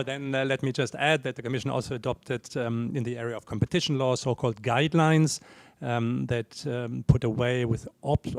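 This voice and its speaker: A man, an official speaking on a policy panel in a measured, formal way, pausing often on fillers. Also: medium pitch, gravelly, dry recording. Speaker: European Commission official. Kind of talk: panel talk.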